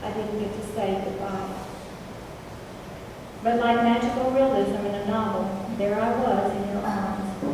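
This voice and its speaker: A soft-spoken woman gently reading a personal remembrance aloud to a fellowship gathering. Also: mid-range voice. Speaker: fellowship member. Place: large meeting hall indoors. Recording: echoey hall, far from mic, background noise.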